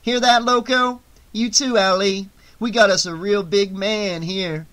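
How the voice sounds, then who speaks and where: Casting: male narrator as mocking biker queen, higher-pitched, stretched taunting words, bossy audiobook villain. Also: animated, slow, fairly bright tone, exaggerated voice. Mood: gleeful mocking. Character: brash mocking woman biker gang leader, taunting and commanding.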